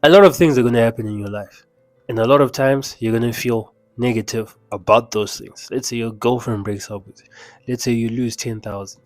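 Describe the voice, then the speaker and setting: A man's voice: mid-range voice, darkish tone, dry recording. A young man with a Zimbabwean accent, giving an emphatic, conversational motivational talk with chatty asides. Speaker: mindset coach. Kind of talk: casual motivational talk to camera.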